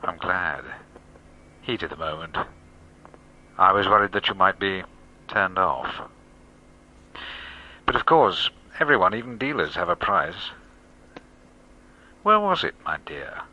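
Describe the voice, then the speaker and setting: An actor playing a smooth-talking, menacing criminal in a radio drama, guarded and insinuating. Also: male voice, low voice, very animated, unhurried, long pauses, sharp tone, exaggerated voice. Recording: dull sound, faint background noise.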